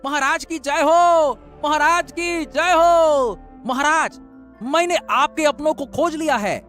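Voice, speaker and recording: Man acting as cartoon trickster brother, very high voice, flattering, greedy, sly. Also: takes it slowly, faint music behind, full character voice. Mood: eager, fawning. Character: scheming young man, greedy elder brother who lies. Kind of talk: animated Hindi moral-story dialogue.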